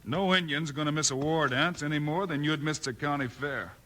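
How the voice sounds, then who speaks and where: Man as a bright, steady Western marshal. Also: fairly high pitch, brisk, lightly acted. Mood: urgent, commanding. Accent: American. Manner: firm, unflappable, reassuring. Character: calm, steady heroic Old West marshal. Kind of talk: 1950s Western radio acting. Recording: faintly noisy vintage radio recording.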